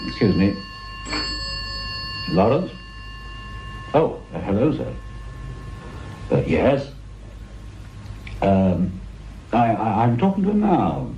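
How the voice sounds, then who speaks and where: An actor playing a manipulative, patronising British police superintendent with an insinuating manner, in a radio drama. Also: male voice, on the low side, wildly swooping pitch, slow, pauses often, heavily acted. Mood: flustered, deferential. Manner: hesitant, insinuating, condescending. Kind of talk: naturalistic radio drama acting. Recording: muffled radio recording with noise.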